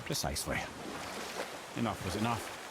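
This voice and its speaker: An actor playing a wry, learned older storyteller and guide in a video game, with a Scottish accent and a wordy, theatrical manner. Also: male voice, on the low side, lively intonation, moderate pace. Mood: wry storytelling. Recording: clear water and boat noise behind. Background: rowing and lapping water.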